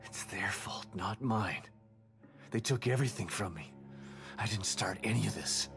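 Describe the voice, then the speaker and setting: Man acting a low, brooding survivor, music behind. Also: moderate pace, close to an everyday voice. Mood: bitter resolve. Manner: brooding, terse, often dropping to a breathy murmur. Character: grim, guilt-ridden middle-aged male survivor hunting his enemy. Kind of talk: cinematic video game acting. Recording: music under the dialogue.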